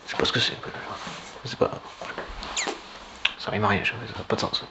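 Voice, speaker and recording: A man giving a rambling, informal political monologue in a halting, sardonic way, with slang and swearing. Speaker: online political commentator. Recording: clearly noisy background.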